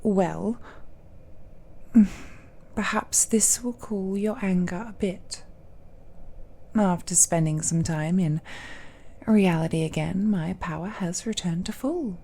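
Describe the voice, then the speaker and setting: A woman playing an ancient, all-powerful, possessive villainess who speaks condescendingly and coaxingly in a romantic audio roleplay, often dropping to a breathy whisper. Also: on the low side, slow, pauses often, dark tone. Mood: grandly doting. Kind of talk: romantic audio roleplay, intimate monologue to listener.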